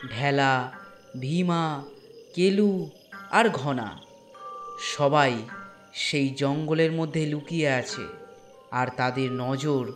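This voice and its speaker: A man narrating a scripted horror story, dramatic, with deliberate pauses. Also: fairly high pitch. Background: music.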